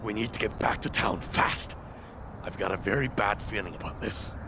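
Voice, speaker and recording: A man's voice: on the low side, normal speed, noisy background, close to an everyday voice. An actor playing a blunt, urgent male fighter in a fantasy video game cutscene. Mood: grim, urgent.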